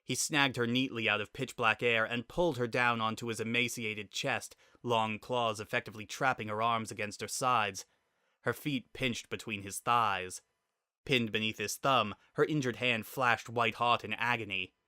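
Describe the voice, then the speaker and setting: A man narrating a scripted story in a dramatic, expressive and deliberate way. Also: medium pitch, clear, resonant tone, no room sound, studio quality.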